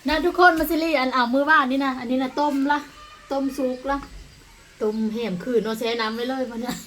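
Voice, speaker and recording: Female vlogger, Isan accent, casual chat to viewers, fairly high pitch, clearly noisy recording. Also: brisk, a bit bright. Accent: Northeastern Thai (Isan). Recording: distant mic, clear background noise.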